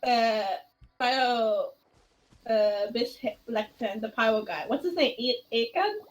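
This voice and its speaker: A woman's voice: fairly high pitch, slow, low-quality recording. A woman streamer chatting casually as she plays a video game, rambling and trailing off. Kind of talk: casual chat while playing a video game. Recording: faint game music behind. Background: video game audio.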